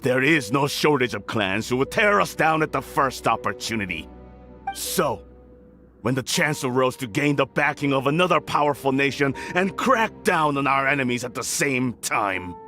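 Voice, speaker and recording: An actor playing a proud, scheming older clan lord in a video game drama, speaking in a formal, haughty, theatrical manner. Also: male voice, medium pitch, a bit bright.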